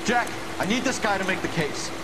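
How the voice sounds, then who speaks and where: An actor playing an intense, defensive detective and former lieutenant in a video game drama, speaking with urgency. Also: male voice, high voice, animated, brisk. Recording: loud rain and water noise behind.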